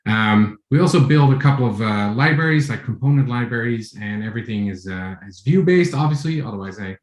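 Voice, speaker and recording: A man in his forties from the Netherlands with a Dutch accent, giving a relaxed tech talk over a video call, with many fillers and restarts. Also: medium pitch, fairly quick, reverberant. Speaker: conference speaker. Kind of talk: online tech talk with screen share.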